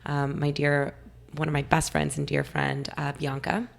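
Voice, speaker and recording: Young woman from california, low flat gravelly voice, bit hoarse, chatty and eager. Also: moderate pace. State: a little hoarse. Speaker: guest nutritionist. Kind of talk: casual interview talk.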